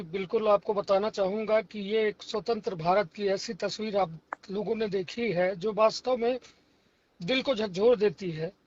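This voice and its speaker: A dull, dry call recording carries a man's very high voice. He is emotional and earnest, giving his own account in formal, halting phrases as an interviewed journalist. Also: dry recording. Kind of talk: earnest first-person account in a phone interview.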